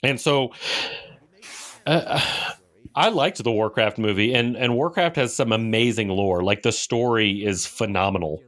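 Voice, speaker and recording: A man's voice: fairly low pitch, unhurried, fairly bright tone. A man co-hosting a podcast, talking casually and explaining things about video games. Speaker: podcast co-host.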